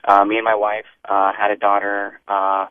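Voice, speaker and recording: A man's voice: lowish voice, monotone, normal speed, no room sound. Earnest male caller on tinny phone. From Iran. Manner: earnest, opinionated. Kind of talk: call-in comment. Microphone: telephone.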